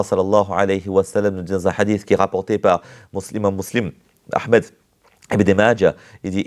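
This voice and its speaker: A man's voice: on the low side, a little mellow. A man teaching a religious lecture in French, laying out points in order, repeating key terms and weaving in Arabic phrases. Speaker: Islamic teacher.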